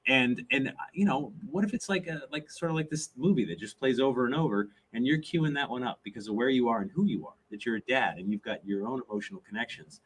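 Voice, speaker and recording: A man's voice: medium pitch, fast talker, hardly any pauses, breathy edge, poor audio. A man in his forties hosting a live podcast, chatty and joking. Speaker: podcast host. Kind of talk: casual live podcast chat. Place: live event stage.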